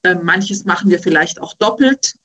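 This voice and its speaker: A woman's voice: fairly low pitch, animated, normal speed, a bit bright. Woman executive speaking off the cuff. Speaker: broadcast programme executive. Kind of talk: spontaneous interview statement.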